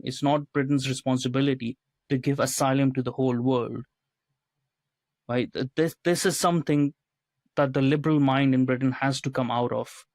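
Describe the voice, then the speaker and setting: A man's voice: mid-range voice, dry recording. A man being interviewed on a podcast, talking earnestly and often checking in with a questioning "right?". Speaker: podcast guest, writer and commentator.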